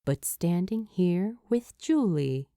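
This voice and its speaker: A woman's voice: lowish voice, expressive, slow, dark tone, dry recording, clean studio sound. A woman reading a scripted story aloud as a fan fiction narrator.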